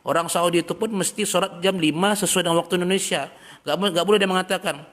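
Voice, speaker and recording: A man teaching a religious study lesson, reading passages in Arabic and then explaining them in a relaxed, chatty way with everyday examples. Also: high-pitched, fast. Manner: reads Arabic text, then explains in plain talk. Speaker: Islamic teacher.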